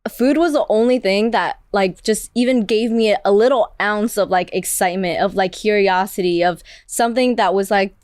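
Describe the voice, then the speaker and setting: A woman's voice: mid-range voice, normal speed, few pauses, a little mellow, dry recording, clean studio sound. A young Vietnamese-born woman whose first language is Vietnamese, a chef telling her story in a casual interview, chatting with lots of "like" fillers. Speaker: chef guest.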